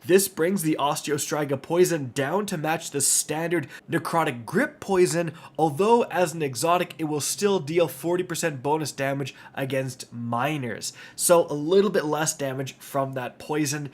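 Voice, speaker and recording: Nasal, congested man with a higher voice. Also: moderate pace, hardly any pauses. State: congested with a stuffy nose. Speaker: gaming video creator and host. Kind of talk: upbeat game update commentary.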